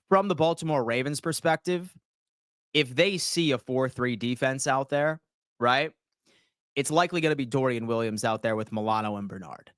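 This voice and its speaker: A man, hosting a casual sports livestream and answering viewers' comments in slangy, animated talk. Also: mid-range voice, smooth, dry recording, clean studio sound. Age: 30s. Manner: slangy, animated, reads chat aloud and answers.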